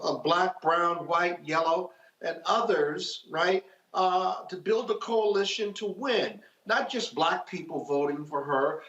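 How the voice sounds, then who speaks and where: Roomy, slightly dull remote audio carries a man's fairly high voice. He comments on politics as a panelist, deliberate and emphatic, often repeating a phrase for weight. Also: a bit bright.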